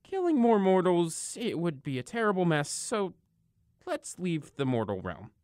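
A sarcastic storyteller, played by a woman, wisecracks through Greek myths. The delivery is casual and very animated, in a dry recording. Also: fairly low pitch, measured pace, dry recording. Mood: casual, wry. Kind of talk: comic narration.

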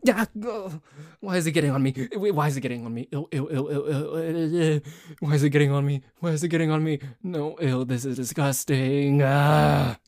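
A man playing a dorky, jealous tsundere boyfriend in an ASMR roleplay, bickering haltingly with a cocky streak. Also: a little high, darkish tone, no room sound, studio quality, close to an everyday voice. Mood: disgusted, flustered. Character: dorky, jealous, grumbling tsundere boyfriend who dislikes a cat.

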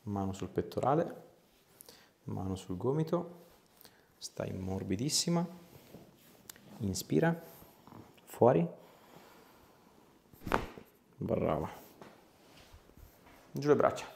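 Male chiropractor, thirties; deepish voice with fry, calmly and casually guiding a patient. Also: takes it slowly, long pauses, slight background noise. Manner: casual, reassuring, short directions. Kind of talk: calm hands-on instructions and questions to a patient. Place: small clinic treatment room.

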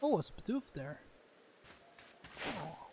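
Animated game commentator with swooping pitch. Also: slow, noisy background. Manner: exclamatory, reacting aloud to play. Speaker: gaming host. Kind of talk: casual gameplay commentary.

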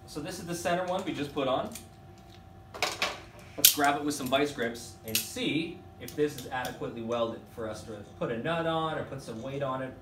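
A man in his thirties casually demonstrating a welding tool in a workshop, chatty and relaxed. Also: medium pitch, background noise. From Canada. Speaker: product demonstrator for a welding company. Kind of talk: casual product demo. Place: workshop with welding equipment, indoors. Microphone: camera mic from a few feet away. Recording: roomy workshop echo from a distance.

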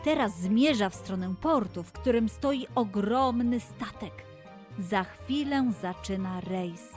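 Slow, expressive woman storyteller. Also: on the high side. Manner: expressive, deliberate. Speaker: children's storyteller. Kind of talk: scripted fairy-tale narration for children.

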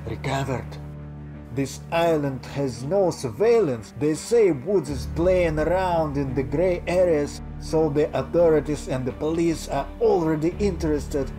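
A man's voice: a little high, lightly acted. An actor voicing an earnest young male sidekick in a video game cutscene. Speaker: friend Zhenka. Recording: music playing behind.

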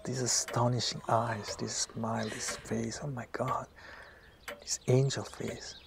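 Guy playing absent-minded writer in a radio play, romantic smooth talker, faint hiss behind. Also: medium pitch, little pitch movement, measured pace. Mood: amorous. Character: distracted, smooth-talking male writer, secretly amorous. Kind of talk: audio drama acting.

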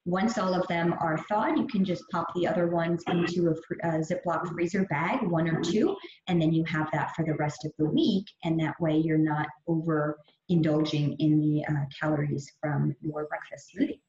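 A woman's voice: deep voice, steady tone, normal speed, hardly any pauses, a little mellow. A young adult woman fitness trainer giving an upbeat, chatty meal prep talk over slides on a video call. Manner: upbeat, chatty, many fillers. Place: gym or studio room. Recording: echoey, dull lo-fi audio with faint hiss.

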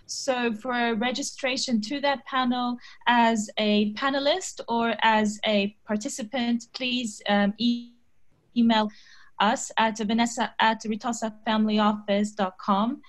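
A woman's voice: fairly high pitch, fairly even intonation, a little slow. A middle-aged woman making a businesslike announcement as organizer on a video call. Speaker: event organizer.

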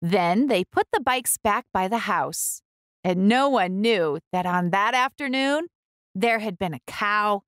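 A woman reading a picture book aloud for young children, deliberate and expressive. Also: mid-range voice, dry recording, studio quality. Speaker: children's book reader and host.

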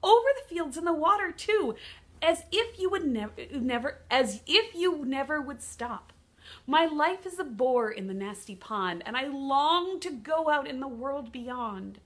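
A woman's voice: sits high, lively intonation, a little slow, a bit bright, pitched above the performer's own voice. A woman voicing an eager, pleading duck in a children's nonsense poem, with dramatic storybook delivery. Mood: longing, wistful.

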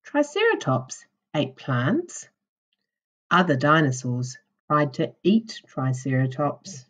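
A woman teacher reading a children's non-fiction book aloud in a deliberate, instructional way for young children. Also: sits low, huge pitch swings, a bit bright. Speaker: primary school teacher.

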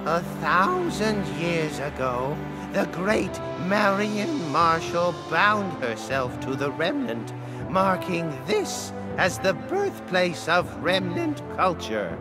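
Young fantasy-game guide: earnest, informative, slow and melodic, under prominent music. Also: male voice, sits high, sing-song, heavily acted. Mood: earnest, solemn. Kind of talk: video game cutscene exposition. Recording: loud music behind the voice.

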